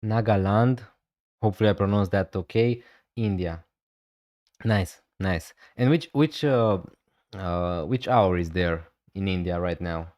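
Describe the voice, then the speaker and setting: Relaxed man streaming live. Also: deep voice, measured pace, no room sound, studio quality. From Romania. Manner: relaxed, hesitant, many fillers. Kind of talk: casual live stream chat.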